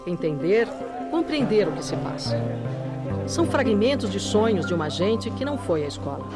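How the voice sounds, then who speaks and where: A woman narrates a documentary in a slow, measured, solemn voice. Her pitch rises and falls in lively arcs, while music plays clearly beneath her. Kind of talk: scripted narration. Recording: music bed under the voice.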